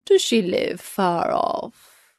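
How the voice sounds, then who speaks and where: A woman voicing a sly, hungry male wolf in a storybook reading, calling out slowly. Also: mid-range voice, big pitch swings, no room sound, clean studio sound, exaggerated voice.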